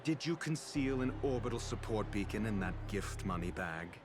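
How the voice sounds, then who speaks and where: A man's voice: lowish voice, lively intonation, normal speed, natural voice. An actor voicing a condescending, sarcastic male scholar in a video game. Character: arrogant, cutting male genius scholar. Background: game music.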